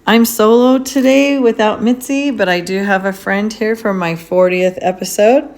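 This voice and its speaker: A woman hosting a casual podcast, chatty and upbeat, with lively emphasis as she interviews a guest. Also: mid-range voice, moderate pace. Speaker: podcast host. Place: indoors on a road trip in Utah mountains.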